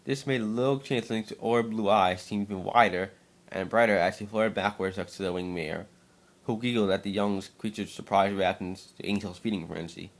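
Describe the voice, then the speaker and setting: Low-voiced man narrating a story. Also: fairly even intonation, brisk. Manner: stumbles and repeats words while reading. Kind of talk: scripted story narration, amateur dramatic reading.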